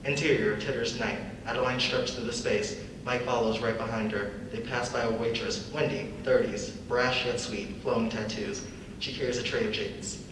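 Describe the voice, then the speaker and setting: A man's voice: medium pitch, fairly even intonation, normal speed. A young man reading stage directions as scripted narration for a dramatic reading. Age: young adult. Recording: echoey hall from far off, noisy.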